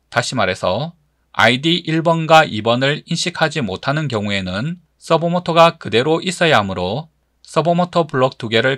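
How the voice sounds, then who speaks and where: A man giving scripted tutorial narration as an instructor, explaining step by step in a measured manner. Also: medium pitch, fairly bright tone, no room sound, clean studio sound.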